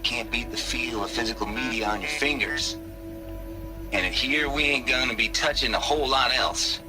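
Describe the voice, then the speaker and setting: A wisecracking, cynical male scientist voiced by an actor in a game scene. He drawls in a medium-pitched voice, folksy and sardonic. Music runs clearly underneath. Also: normal speed, natural voice. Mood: teasing. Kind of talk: video game dramatic acting.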